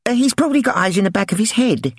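A man's voice: sits high, animated, very fast, slightly rough, no room sound, studio quality, voice pushed up, full character voice. A man voicing a bossy wild boy who barks his words, in a storybook reading. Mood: excited. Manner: barking, bossy.

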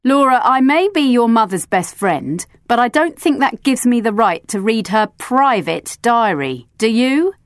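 A woman's voice: medium pitch, animated, slow, a little mellow, no room sound, clean studio sound. A woman voicing a sarcastic, firm adult woman who is a family friend. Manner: sarcastic, stern.

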